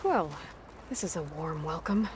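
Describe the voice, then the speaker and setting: A woman's voice: low-pitched, animated, a little slow, background noise. A woman playing a resolute, observant young outlander huntress in a video game, wry and self-possessed. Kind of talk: video game cutscene acting.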